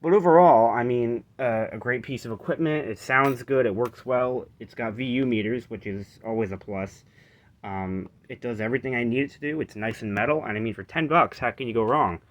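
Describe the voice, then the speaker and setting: A man's voice: mid-range voice, fairly quick, highs cut off. Man, mid-range voice, casual product walkthrough, rambling and tentative, phone recording. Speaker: vlogger showing vintage reel-to-reel tape recorder. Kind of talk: casual unscripted demonstration. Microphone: handheld phone camera.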